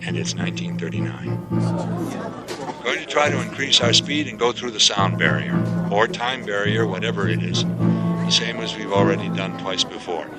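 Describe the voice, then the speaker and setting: An actor playing a steady, authoritative airline captain under strain in a radio drama, speaking deliberately. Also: male voice, fairly low pitch, over loud music, lightly acted. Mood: grave, solemn.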